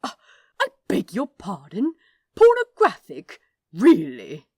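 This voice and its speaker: A woman voicing a prim, flustered, gentle male angel in an audiobook, fussy and formal in manner. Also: medium pitch, huge pitch swings, slow, no room sound, clean studio sound, voice pushed up, full character voice. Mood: prim indignation. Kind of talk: fan fiction audiobook character voice.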